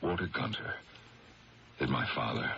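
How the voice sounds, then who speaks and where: A muffled, hissy old radio recording carries a proud, troubled prosecutor. His voice is extremely deep and gravelly, with firm, dramatic, deliberate phrasing in the manner of a 1970s radio play. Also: lively intonation, pushed and bright, heavily acted. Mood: troubled, confessional. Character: principled, stern district attorney, proud and troubled man.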